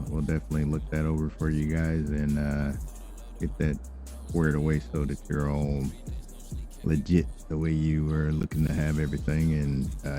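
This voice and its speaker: A man improvising as an obliging lawyer and crime boss in a role-play game, talking business politely and haltingly with many fillers. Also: extremely low pitch, mellow. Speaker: streamer role-playing in a game. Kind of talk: improvised in-character business talk.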